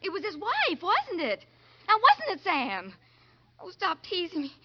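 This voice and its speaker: Female, ditzy sweet young secretary, extremely high and animated, breathless girlish confusion, 1940s radio, dull sound. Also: measured pace, full character voice. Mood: muddled, insistent. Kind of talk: 1940s radio comedy acting. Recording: muffled vintage 1940s radio recording.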